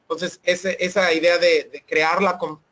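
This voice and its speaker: High-voiced man explaining, many restarts. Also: level tone, unhurried, fairly bright tone. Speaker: webinar presenter. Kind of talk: online seminar Q&A.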